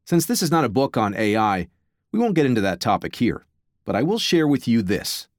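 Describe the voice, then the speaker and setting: A man's voice: medium pitch, sing-song, a little fast, dry recording. Male audiobook narrator, dry studio sound.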